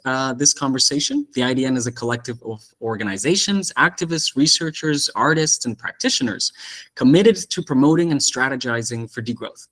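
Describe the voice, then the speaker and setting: A man from Mexico City speaks as the host of an online discussion over a video call. His voice sits at a middle pitch. He welcomes and introduces in an effusive, enthusiastic way. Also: fairly bright tone.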